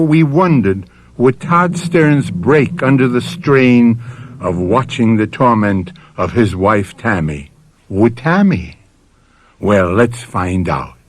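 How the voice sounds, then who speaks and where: Theatrical male radio host with swooping intonation. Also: mid-range voice. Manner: theatrical, measured, ominous. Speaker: radio drama host and narrator. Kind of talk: dramatic scripted narration, old-time radio. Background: dramatic music.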